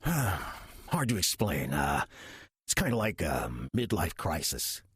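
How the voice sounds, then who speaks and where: An actor playing a slothful demon posing as a friendly churchgoer, casual, sardonic and persuasive, with a rough, husky voice in an audio drama. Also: male voice, low-pitched, sing-song, slow, dry recording, studio quality, full character voice. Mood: wry, confiding.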